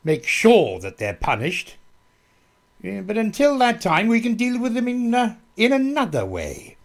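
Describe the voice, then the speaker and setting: A man's voice: high voice, wildly swooping pitch, a little slow, exaggerated voice. A man playing a ruthless, menacing army captain in a radio play, sneering and threatening. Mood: calculating. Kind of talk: radio drama acting.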